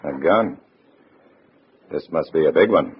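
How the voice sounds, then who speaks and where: An actor playing a wary undercover agent in a 1950s radio drama, with an American accent and a measured, clipped, hard-boiled delivery. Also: male voice, rumbling low, expressive, breathy edge, exaggerated voice. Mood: deferential. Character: wary male undercover agent posing as loyal party comrade. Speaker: narrator and lead actor. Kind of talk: 1950s radio drama acting, first-person narration. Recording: muffled vintage radio recording with music.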